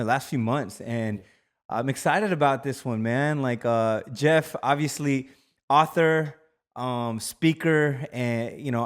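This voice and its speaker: A man co-hosting a casual podcast, talking in a loose, rambling way with many fillers. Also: mid-range voice. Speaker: podcast co-host.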